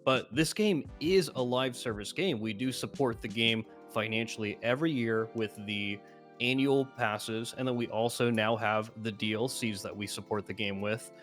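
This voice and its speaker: A man's voice: mid-range voice, normal speed, a bit bright, faint music behind. A man who makes gaming videos, talking casually and emphatically about a video game. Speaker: gaming YouTuber.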